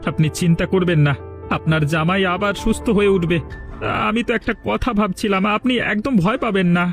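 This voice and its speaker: একজন পুরুষের অভিনয়ে উঁচু গলার বিশ্বস্ত তরুণ বন্ধু. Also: male voice, sits high, fairly quick, faint music behind. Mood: reassuring. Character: loyal, protective young male friend, reassuring and determined. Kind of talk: cartoon voice acting.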